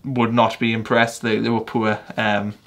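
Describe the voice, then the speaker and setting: A man's voice: on the low side, level tone, a little slow, fairly bright tone. Chatty North East English young man. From England. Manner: chatty, rambling, with fillers and self-corrections. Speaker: football fan vlogger. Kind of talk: casual match reaction.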